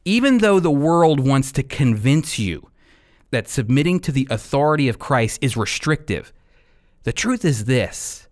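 A man hosting a radio program and teaching the Bible in an emphatic, deliberate way. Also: mid-range voice, very animated.

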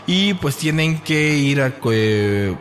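A man's voice: fairly high pitch, expressive, takes it slowly, a little hiss or hum. A man with a Mexican accent co-hosting a casual podcast, joking and rambling in slangy speech. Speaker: podcast co-host. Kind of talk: casual humorous podcast chat.

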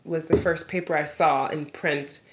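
A woman's voice: sits low, fairly even intonation, normal speed, rough recording. Affirming New woman hosting. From New York, USA. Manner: warm, affirming, laughs often. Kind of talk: casual interview chat.

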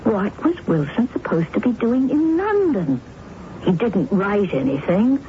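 A woman's voice: mid-range voice, big pitch swings, a little slow. An actress playing an insistent, theatrical woman accused of murder who turns amateur sleuth, in an emphatic radio drama style. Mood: insistent, probing. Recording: narrow-band noisy old radio broadcast.

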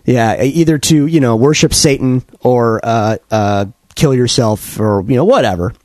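A man co-hosting a podcast, still congested from a cold, rambling through animated stories with many restarts. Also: mid-range voice, normal speed, dry recording. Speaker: podcast co-host. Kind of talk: casual chat.

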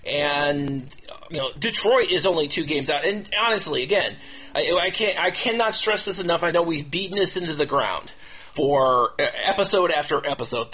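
A man with a somewhat high-set voice hosts a casual sports discussion. He speaks in a halting, looping way, often repeating the start of a phrase before carrying it through. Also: fairly bright tone, husky. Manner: halting, repetitive, restarts phrases over and over. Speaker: podcast host.

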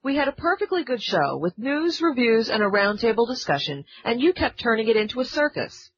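A woman's voice: a little high, big pitch swings, a little fast, slight background noise. A woman playing an exasperated colleague who scolds in a scripted podcast comedy skit, recorded in dull lo-fi sound. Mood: exasperated scolding.

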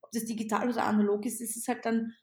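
Animated Austrian woman in echoey room. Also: on the high side, fast. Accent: Austrian German. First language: not German. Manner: animated, repeats words and restarts. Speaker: teacher, interview guest. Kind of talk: conversational interview answer.

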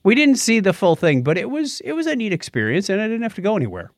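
A man's voice: high voice, sing-song, quick pace, dry recording, studio quality. An American man co-hosting a podcast, chatting casually and explaining things in an anecdotal, conversational way. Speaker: podcast host.

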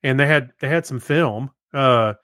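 A man co-hosting a podcast, chatting casually and haltingly as he thinks aloud. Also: mid-range voice, a bit bright, clear, resonant tone, dry recording, studio quality. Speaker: podcast co-host. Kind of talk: casual chat.

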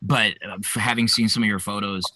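A man hosting a podcast interview, playful and encouraging, with quick affirmations. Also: fairly low pitch, steady tone, pushed and bright, no room sound. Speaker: podcast host.

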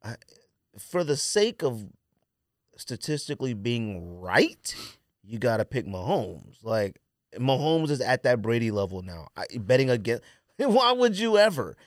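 An American man talks casually as a sports podcast co-host. His pitch swings widely as he makes opinionated, slangy points in an animated manner. The sound is close and dry, with very little room. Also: unhurried, dry recording.

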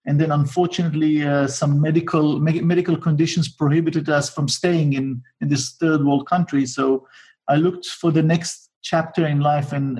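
Husky middle-aged Israeli man, slightly high voice. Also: a little mellow, low-quality recording. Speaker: interview guest, businessman. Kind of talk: conversational life story in an interview.

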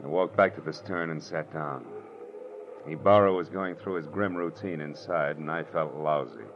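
An American actor playing a cocky, wisecracking private detective in 1940s radio noir, with wry, slangy, clipped delivery. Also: male voice, rumbling low, normal speed. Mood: brooding narration. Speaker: lead actor and narrator. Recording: dull, noisy old radio recording.

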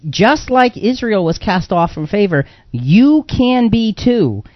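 Higher-voiced male radio host, slightly muffled. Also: sing-song, slow pace, no room sound. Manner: conversational, explanatory, repeats phrases for emphasis. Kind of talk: live religious radio discussion.

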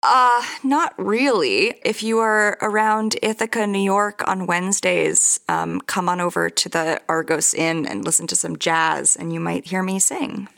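A woman with a slightly low voice and plenty of vocal fry takes part in a podcast. She speaks casually and conversationally. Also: a little slow, few pauses, fairly bright tone. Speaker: podcast participant. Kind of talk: casual chat.

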